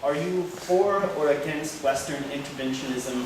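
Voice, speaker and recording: Man, moderator, higher voice, measured questions, roomy hall echo, audible background noise. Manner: deliberate, measured questions with "uh" fillers. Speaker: talk moderator. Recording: echoey hall, voice far from mic, noisy.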